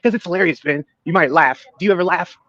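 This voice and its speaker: A playful man with a big, brash delivery, talking fast in slangy banter from a crowded public place. His tone is bright and tense, and his phone line sounds dull and lo-fi. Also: high voice. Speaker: guest on an online panel. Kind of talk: casual streetwise banter. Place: public place with people around.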